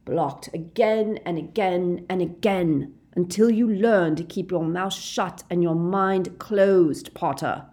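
A woman voicing a sneering, commanding male wizard villain, shouting contemptuous taunts in a dramatic audiobook style. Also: deep voice, normal speed, creaky, few pauses. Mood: bitter contempt.

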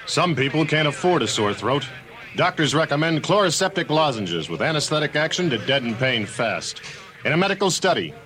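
A man reading a scripted medicine commercial as an announcer. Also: on the high side.

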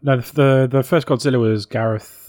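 A man's voice: mid-range voice, fairly even intonation, a little fast, darkish tone, no echo, clean studio sound. A man co-hosting a podcast, chatting casually and hesitantly as he thinks aloud. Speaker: podcast co-host. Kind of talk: casual chat about games and films.

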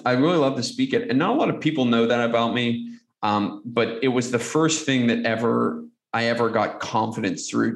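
Guest on a podcast: man, gravelly, earnest motivational delivery, echoey room. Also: medium pitch. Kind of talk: conversational interview answers.

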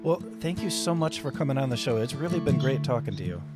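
A man's voice: mid-range voice, expressive, quick pace, over loud music. A man hosting a podcast, chatting casually and joking, often laughing. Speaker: podcast host. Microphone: Skype call.

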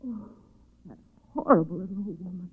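A woman's voice: lowish voice, fairly quick, slightly rough. An actress playing a curious, polite young woman drawn into danger in a 1940s radio drama, hesitant and wondering. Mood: curious, uneasy. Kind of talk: 1940s radio drama acting and inner monologue. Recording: narrow, phone-like old radio recording.

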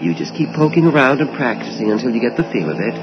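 An actor playing a callous mad-scientist dentist in a radio suspense drama, speaking with genteel, matter-of-fact menace and condescending reassurance. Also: male voice, medium pitch, expressive, fast, creaky, over music. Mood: calm, patronising. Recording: dull, noisy old radio drama recording.

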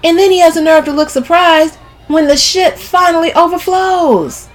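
A woman narrator voicing a brash, sharp-tongued, scornful woman in an audiobook story, with sassy and profane delivery. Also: extremely high pitch, moderate pace, soft background music, voice pushed up. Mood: disgusted, exasperated.

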